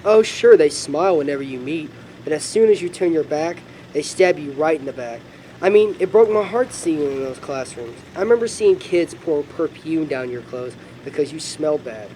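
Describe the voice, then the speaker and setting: Weary fatherly God, slightly high-voiced man acting. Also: lively intonation, normal speed, warm tone, a little hiss or hum, close to an everyday voice, rough recording. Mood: hurt, protective. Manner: sorrowful, reflective, fatherly. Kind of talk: film dialogue.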